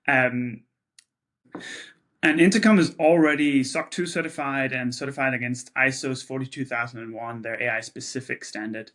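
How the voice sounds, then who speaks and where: A man's voice: medium pitch, normal speed, a bit bright. A man who runs a company, interviewing a guest and adding his own commentary between questions. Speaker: interviewer, company chief executive.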